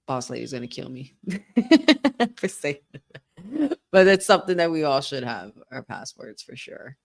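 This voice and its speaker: A woman co-hosting a wrestling watch-along, talking in an excitable, chatty fan style with lots of "like". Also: low voice, unhurried. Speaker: podcast co-host. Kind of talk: casual watch-along commentary.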